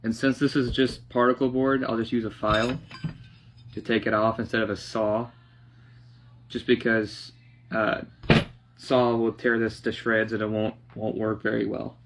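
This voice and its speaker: A young man casually narrating a hands-on project, half talking to himself in a halting mutter as he works in a living room. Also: fairly low pitch, flat delivery. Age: young adult. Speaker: DIY vlogger. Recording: dull lo-fi sound, clear background noise.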